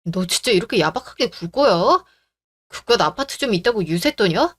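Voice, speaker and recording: A woman's voice: medium pitch, expressive, moderate pace, fairly bright tone. A woman voicing an entitled young male fiancé who talks in a demanding, sneering way in a story radio drama. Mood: angry.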